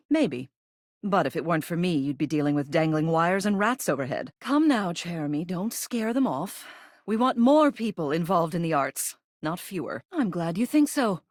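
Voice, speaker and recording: Stern haughty govt lady for a game, kinda low voice, measured n formal, bossy, very dry recording. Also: darkish tone, dry recording. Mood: arch, composed, then gracious, measured. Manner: formal, clipped, authoritative, measured. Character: stern, haughty female ceiling who governs a household like a politician. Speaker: video game character voice actor. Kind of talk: scripted game character dialogue.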